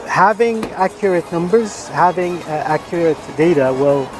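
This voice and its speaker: A man speaks up for his community in a news interview, earnest and pausing often with fillers. His voice sits a little high for a man. Clear music plays under him. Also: expressive. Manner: earnest, hesitant with many fillers.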